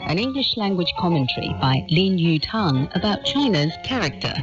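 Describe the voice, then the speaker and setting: A woman's voice: lowish voice, sing-song, normal speed. A woman reading a scripted radio programme announcement as the show's announcer. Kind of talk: scripted broadcast announcement over music. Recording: dull lo-fi radio capture. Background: loud music.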